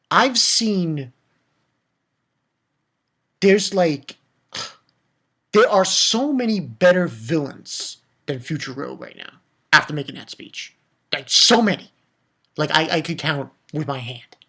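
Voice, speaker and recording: A person giving a casual, ranting manga review in a blunt, mocking, crude way with animated delivery. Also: mid-range voice, slow pace, long pauses, breathy edge.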